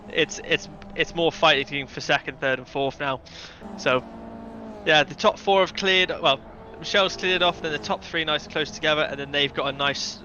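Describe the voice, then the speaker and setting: A man breaks down an online race in a bright, sharp voice that sits fairly high. His sound is slightly dull and lo-fi, with faint noise behind it. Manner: chatty, analytical, teasing. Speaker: co-commentator. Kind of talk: sim racing color commentary.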